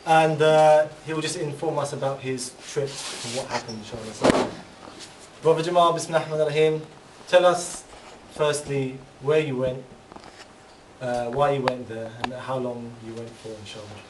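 A man's voice: mid-range voice, steady tone, moderate pace. A man hosting a chat-show style interview, asking questions politely with religious courtesies. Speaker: host, interviewer. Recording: echoey room from far off, audible hiss.

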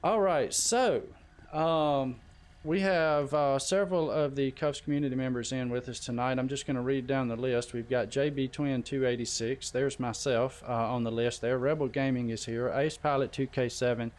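A man hosting a casual gaming livestream, chatting informally and giving shout-outs to viewers by name. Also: medium pitch, fairly even intonation, normal speed. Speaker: live stream host.

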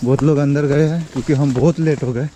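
A man's voice: a little high, fairly even intonation, fast talker, warm tone. Young man vlogging on a motorbike. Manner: chatty, upbeat, showing the views. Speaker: motovlogger. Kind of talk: casual travel vlog narration. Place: outdoors on hills and riding a motorbike in rain. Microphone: action camera, handheld at arm's length while trekking. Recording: dull lo-fi action camera audio with wind and traffic noise.

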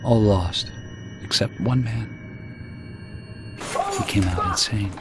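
An actor playing a haunted young soldier, narrating a horror war game cutscene in a grave, brooding manner. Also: male voice, on the low side, takes it slowly, music in the background.

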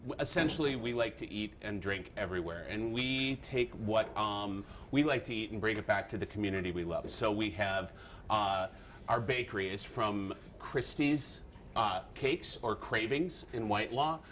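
Relaxed middle-aged man in a cafe. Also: lowish voice, normal speed, a little hiss or hum. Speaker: coffee shop owner. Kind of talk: live TV interview. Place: coffee shop, indoors.

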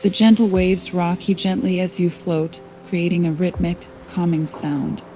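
A woman guiding a sleep meditation in a soothing, unhurried way, leaving long pauses between scripted phrases. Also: lowish voice. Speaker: meditation guide. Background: soft calming background music.

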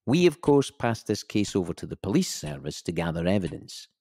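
A deep dry official investigator, formal and measured, audio drama monologue, no room echo. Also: male voice, very animated, gravelly, dark tone, full character voice. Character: dry, officious male investigator of a suspicious death.

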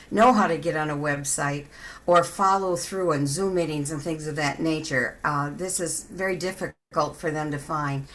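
A woman answering as a candidate at a public forum in a plain-spoken, measured manner. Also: low-pitched, a bit bright. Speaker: city council candidate.

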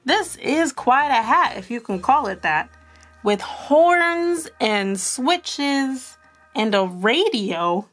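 High-voiced woman reading a picture book aloud. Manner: animated, asks young listeners questions. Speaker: children's storyteller.

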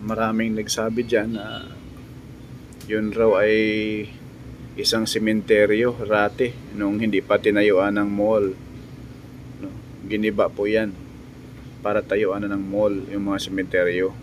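A man narrating drone footage as a vlogger, halting, with frequent pauses. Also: on the low side, flat delivery, slight background noise, poor audio. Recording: music behind the voice. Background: music.

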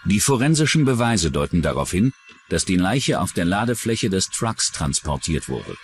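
Low-voiced, animated male documentary narrator. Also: normal speed, soft background music. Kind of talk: scripted true-crime narration.

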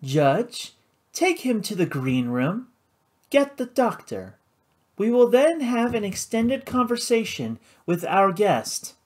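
A man voicing an elegant, cold woman who runs a casino, speaking in a poised, measured, formal way. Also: high-pitched, big pitch swings, pitched above the performer's own voice, exaggerated voice. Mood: icy, commanding.

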